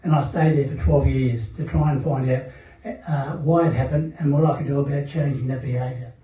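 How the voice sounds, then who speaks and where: An older Australian man speaking as a panel guest at a seminar, telling his life story in a measured, reflective way with many pauses and fillers. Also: mid-range voice, steady tone, dark tone. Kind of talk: personal testimony at a seminar panel. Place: seminar hall. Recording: echoey room, mic at a distance.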